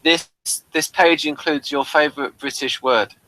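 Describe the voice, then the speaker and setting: A man chatting casually as a podcast reviewer, in a hesitant, halting way. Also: on the high side, sharp tone, poor audio.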